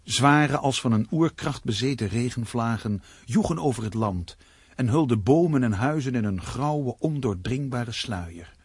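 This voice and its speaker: Grave, very husky thriller narrator. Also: male voice, mid-range voice, expressive, no room sound. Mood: grave, ominous. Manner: deliberate, dramatic pauses. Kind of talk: scripted radio play narration.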